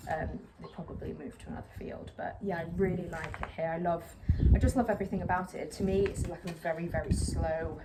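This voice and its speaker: A woman's voice: lowish voice, fast, hardly any pauses. Chatty young woman outdoors. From England. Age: young adult. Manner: rambling, filler-heavy, self-conscious. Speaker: plant vlogger. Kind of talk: casual chat to camera while repotting. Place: outdoors on a patio by a brick wall, a little windy. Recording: clear outdoor noise behind.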